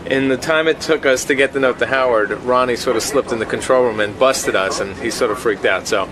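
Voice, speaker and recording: A man's voice: mid-range voice, a little fast, background noise. A man who works on a radio show, talking casually as he explains and banters.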